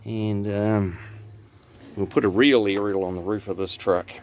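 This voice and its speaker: A man's voice: fairly low pitch, steady tone, a little slow. A man with a New Zealand accent giving a casual, matter-of-fact hands-on walkthrough as a technician. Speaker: radio technician narrating own repair video. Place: in and around a truck cab. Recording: clear background noise, vehicle ambience.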